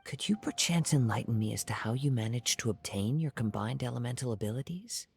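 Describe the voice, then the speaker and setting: A man voicing a soft-spoken, contemplative young swordsman in a video game, formal and polite in his measured phrasing. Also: mid-range voice, creaky, husky. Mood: polite, curious. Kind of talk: scripted video game character dialogue. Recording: background music.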